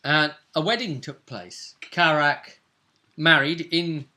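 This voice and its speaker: A man speaking as game master, casually recapping a role-play session in a halting, emphatic way with repeated phrases. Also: on the high side, sharp tone.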